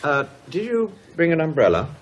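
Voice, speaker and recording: An actor playing a solicitous, friendly man who gives gentle advice in an audio horror drama, encouraging and helpful. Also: fairly high pitch, wildly swooping pitch, normal speed, slight background noise.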